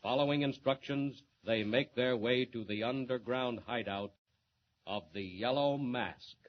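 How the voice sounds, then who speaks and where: A man delivers dramatic, scripted serial narration as an announcer. His mid-pitched voice comes over a muffled 1940s radio recording with light hiss. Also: a little slow.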